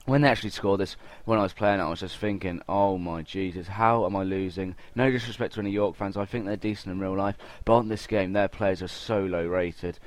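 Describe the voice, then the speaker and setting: A man's voice: sits low, fairly even intonation, brisk, few pauses, no room sound. A young man giving casual, chatty gameplay commentary, talking informally straight to his viewers. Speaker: gaming video host.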